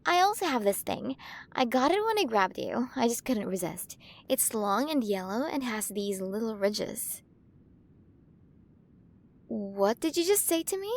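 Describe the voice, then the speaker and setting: A fairly high-pitched alien queen played by a woman speaks straight to her human in an ASMR roleplay. She is ancient yet full of playful wonder, doting and possessive, with a gentle condescension toward the small creature she keeps. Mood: fascinated, musing. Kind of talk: ASMR roleplay, acted monologue to the listener.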